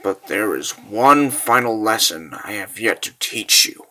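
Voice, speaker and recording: A man voicing a grave, solemn mentor in a comic dub, speaking slowly and low. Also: lively intonation, pushed and bright, low-quality recording. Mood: stern. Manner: slow, solemn, low-voiced. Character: grave, solemn mentor urging a warrior onward.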